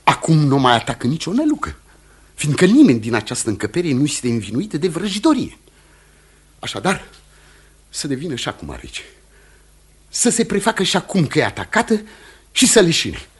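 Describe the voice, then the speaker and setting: An actor playing an indignant, accusing village pastor in a radio drama, speaking formally and insistently. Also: male voice, fairly high pitch, sing-song, long pauses, slightly rough. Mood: goading. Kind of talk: theatrical radio drama acting.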